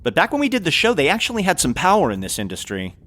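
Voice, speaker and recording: A man's voice: on the high side, huge pitch swings, fast talker, fairly bright tone, full character voice. A man playing a snide, dismissive, sarcastic sitcom actor in a comedic audio drama. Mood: wry. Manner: sarcastic, dry-witted.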